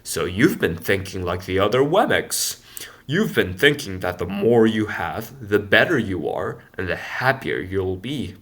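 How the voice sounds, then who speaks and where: A man voicing a gentle, wise woodcarver in a storybook, calm, deep and kind, speaking slowly and tenderly. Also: exaggerated voice. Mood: kindly. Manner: slow, deliberate, tender. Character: gentle, wise male woodcarver, fatherly maker of puppets.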